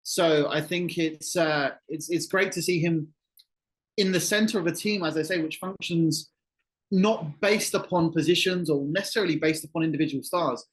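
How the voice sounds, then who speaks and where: Middle-aged man from England, fairly high voice. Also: normal speed, poor audio. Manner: relaxed, thoughtful, restarts phrases. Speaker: guest football journalist. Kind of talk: podcast interview answers. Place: Colombia, remote call.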